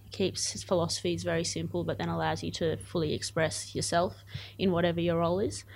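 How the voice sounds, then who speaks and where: A woman's voice: on the low side, little pitch movement, a little fast, vocal fry, a little mellow, a little hiss or hum. A woman in her twenties with an Australian accent, chatting casually and enthusiastically as an athlete being interviewed. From born in Zimbabwe, moved to Australia aged eight. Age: 20s. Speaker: cricket player.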